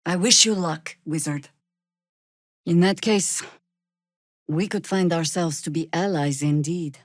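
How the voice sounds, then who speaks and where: A woman voicing a weary, sardonic veteran druid warrior in a fantasy video game, speaking in a dry, measured way. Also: lowish voice, no echo. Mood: grave, resolute.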